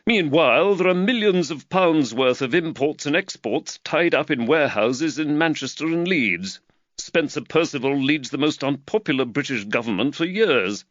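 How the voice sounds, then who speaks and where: A man voicing an earnest middle-aged London magistrate who lectures with energy, higher and louder than his own voice. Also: normal speed, no room sound, dull audio. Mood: animated. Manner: lively, preachy, warms to his theme.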